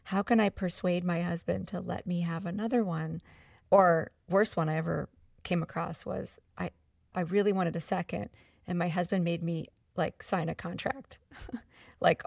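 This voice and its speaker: A woman's voice: fairly low pitch, creaky, no echo. A woman hosting a podcast who talks hesitantly, repeating words and filling in with short agreements. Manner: hesitant, repeats words, trails into laughs, many short backchannels. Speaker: podcast host. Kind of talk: casual interview chat. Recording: distant mic in a dry room.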